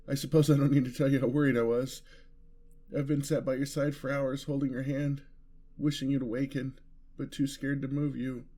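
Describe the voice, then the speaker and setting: A man's voice: mid-range voice, dark tone, lightly acted. A man playing a devoted, weary vampire lover in an ASMR fantasy roleplay, speaking tenderly and earnestly in formal words. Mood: relieved, tender.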